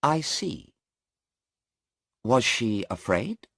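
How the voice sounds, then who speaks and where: A man voicing a sharp male defence lawyer, questioning slowly and pointedly. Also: mid-range voice, very animated, gravelly, breathy edge, no echo, studio quality, voice pushed up, heavily acted. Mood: probing.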